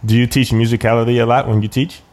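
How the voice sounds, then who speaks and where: A man's voice: medium pitch, little pitch movement, fast, a little mellow. A man hosting a podcast, asking questions in a conversational interviewing style.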